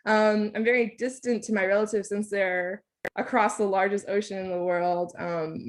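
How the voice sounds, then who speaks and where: A woman in her twenties who grew up speaking Thai, giving a casual, candid talk in a lecture series with frequent ums and likes. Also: medium pitch. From United States. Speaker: staff researcher giving a lecture.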